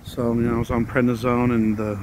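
A hoarse man talking casually while walking outdoors on a residential street, a little out of breath, rambling in a plain way and now and then coughing or sighing. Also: mid-range voice, little pitch movement, fairly quick, background noise. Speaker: vlogger. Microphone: handheld phone while walking.

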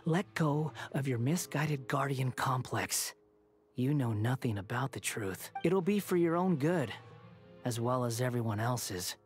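An actor voicing an arrogant young male would-be god in a video game, talking down in a smug, mocking, slow lecturing way. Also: mid-range voice, expressive, faint music behind, natural voice. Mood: condescending.

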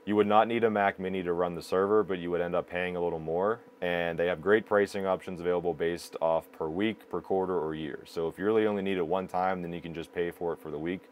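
A man's voice: low voice, steady tone, quick pace, hardly any pauses, a little hiss or hum. A man in his thirties giving a matter-of-fact, explanatory product review to camera. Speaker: video production company presenter. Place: small indoor broadcast control room.

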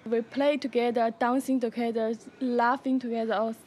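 A woman's voice: a little high, level tone, normal speed, noisy background. A woman speaking enthusiastically about the women's camp in a short interview. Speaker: camp participant.

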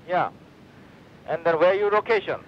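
A man who is not a native English speaker, selling over the phone in halting, broken English. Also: high-pitched. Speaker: salesman on the phone. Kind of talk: phone call. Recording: muffled lo-fi phone line.

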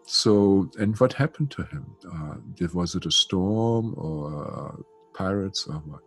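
A man's voice: sits low, lively intonation, slow, soft background music. An older man guiding a spiritual session over a video call on a headset, talking loosely with many fillers. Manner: chatty, warm-toned, many "you know" fillers. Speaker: spiritual healer. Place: home room.

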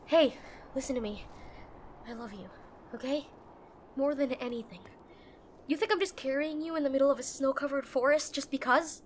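A woman playing a brusque, protective and frightened tsundere girlfriend in a roleplay audio drama, speaking urgently and haltingly straight to the listener. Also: sits high, slight background noise, lightly acted. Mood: urgent, pleading. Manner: bossy, urgent, emotional, halting.

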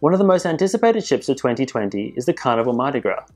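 Male news presenter, informative measured voice-over with quiet music bed. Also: mid-range voice, sing-song. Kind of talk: scripted narration. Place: voice-over, not in pictured places.

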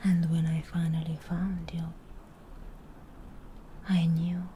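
Woman playing a slow whispering, possessive witch. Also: on the low side, little pitch movement, warm tone. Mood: tender, possessive. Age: young adult. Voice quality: breathy whisper. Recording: close whisper with ambient background noise.

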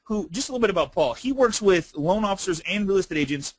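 Effusive man hosting, slightly high voice. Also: lively intonation, fast, slightly rough, no echo. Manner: effusive, enthusiastic. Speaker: webinar host. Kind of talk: casual chat, introducing and prompting a guest.

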